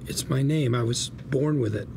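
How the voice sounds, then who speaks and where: A man's voice: mid-range voice, moderate pace, darkish tone, slight background noise, natural voice. An actor playing a scheming, sarcastic lawyer with a folksy salesman's patter at a script table read. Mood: sarcastic, indignant. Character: scheming, sarcastic younger brother, a slick fast-talking lawyer.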